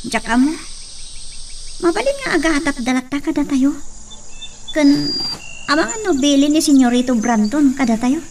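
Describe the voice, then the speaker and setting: A woman acting a young farm girl, dutiful and anxious, in a radio drama. Her voice is very high and sounds slightly distant, with faint insect noise behind. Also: animated, normal speed, pauses often. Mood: worried. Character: young farm daughter, dutiful, worried, talking to father.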